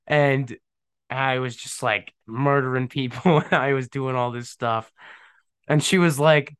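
A man's voice: mid-range voice, slow pace, fairly bright tone, clear, resonant tone, dry recording, clean studio sound. A young man hosting a podcast, talking in a rambling, slangy way and laughing often, with a stuffed-up nose from a cold. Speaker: podcast host. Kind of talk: casual solo chat.

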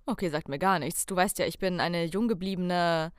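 A woman's voice: on the low side, moderate pace, dry recording, studio quality. A woman co-hosting a casual podcast, talking playfully and theatrically and addressing listeners directly. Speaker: podcast co-host.

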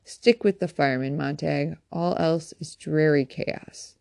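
A woman's voice: deep voice, measured pace, gravelly, a little mellow, no echo, studio quality. Woman reading as a smug, taunting male fire captain. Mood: taunting, emphatic. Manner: theatrical, pompous, mocking. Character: smug, taunting fire captain, a man who loves quoting.

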